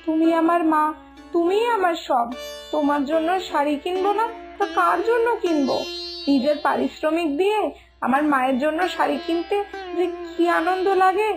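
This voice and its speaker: A woman voicing a devoted, self-sacrificing village daughter in an animated moral story, speaking in an earnest, emotional, pleading manner. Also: extremely high pitch, normal speed, over music.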